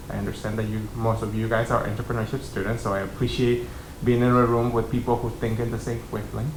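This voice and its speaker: A man in his thirties, from Mexico, tells his story to a college class from a seated panel. He speaks into a desk mic in a relaxed, conversational way, filling his pauses with ums and uhs. The room sounds echoey, and a faint rumble runs underneath. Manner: conversational, many ums and uhs, gestures with hands. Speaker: keynote speaker. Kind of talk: personal story talk to students. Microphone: desk mic on stand.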